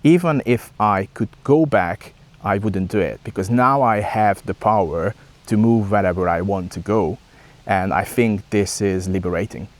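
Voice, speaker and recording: A man with a fairly low voice and a Hungarian accent speaks reflectively, as if to his vlog viewers. His English is non-native, with hesitant phrasing as he works through his thoughts. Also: moderate pace. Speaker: vlogger. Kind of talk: reflective talk to camera.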